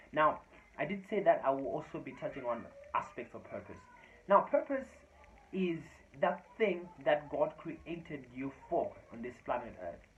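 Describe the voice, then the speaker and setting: A man in his twenties whose first language is Shona, giving an earnest motivational talk to his channel's viewers and repeating phrases for emphasis. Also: a little high. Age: 20s. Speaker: YouTube channel host. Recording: dull lo-fi sound with faint noise.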